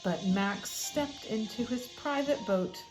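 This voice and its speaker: A middle-aged woman reads a children's story aloud as a school librarian would. Her pace is slow and measured, and her delivery is expressive. Soft music plays behind her. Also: fairly high pitch, rough recording. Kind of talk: expressive picture-book read-aloud.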